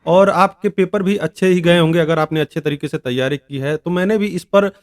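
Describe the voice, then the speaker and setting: A man's voice: fairly high pitch, brisk. A man hosting an online video, explaining and advising viewers in an informal way, often repeating and rephrasing his points. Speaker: YouTube exam-advice host.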